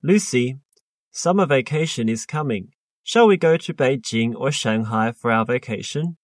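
Friendly father for a textbook dialogue, a man reading, mid-pitched and dry. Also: expressive, normal speed, no echo, lightly acted. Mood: kind. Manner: clear, plain textbook delivery. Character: friendly middle-aged father. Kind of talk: scripted listening-test dialogue.

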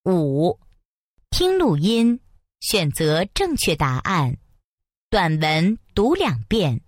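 A woman reads exam instructions, slow and formal, with a lively, sing-song pitch. The sound is close and dry. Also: no room sound. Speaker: exam announcer. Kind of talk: reading test instructions.

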